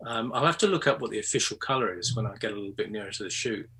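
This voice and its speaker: Man, 60s, English accent, husky, bright tone, hesitant casual chat, video call. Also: on the low side, lively intonation, very fast. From England. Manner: hesitant, many ums and restarts. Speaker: guest photographer.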